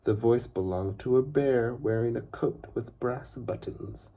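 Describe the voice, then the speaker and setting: A man reading a children's story aloud in an expressive, unhurried way with dramatic pauses and a husky, gravelly voice. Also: medium pitch. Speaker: father reading a bedtime story.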